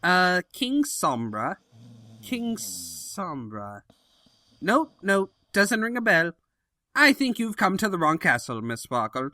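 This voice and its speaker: A man's voice: sits high, big pitch swings, slow pace, bright, forceful tone, dry recording, studio quality, heavily acted. A man voicing a nervous, deferential pony henchman in a cartoon audio drama, polite and hesitant. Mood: awkward stalling.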